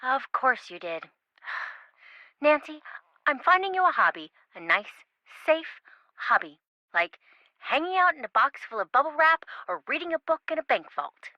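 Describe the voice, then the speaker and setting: Young female game sidekick, sassy, sarcastic, animated pitch swings, close dry sound. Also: unhurried, dry recording, lo-fi sound. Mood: sarcastic, exasperated. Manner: teasing, sarcastic, dryly exaggerated. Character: sassy young woman, the detective's wisecracking best friend. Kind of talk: video game voice acting.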